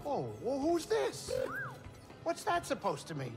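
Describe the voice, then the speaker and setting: Grumpy little male alien, suspicious, high and very animated, game music behind. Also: a little slow, exaggerated voice. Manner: grumbling, wary. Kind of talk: cinematic video game acting.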